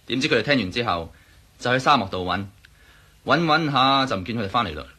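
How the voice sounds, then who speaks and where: A man's voice: mid-range voice, expressive, quick pace, sharp tone, breathy edge. A man playing a talkative, sly Egyptian hotel waiter in a radio drama, wordy and self-justifying. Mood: mysterious, coy. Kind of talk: Cantonese radio drama acting.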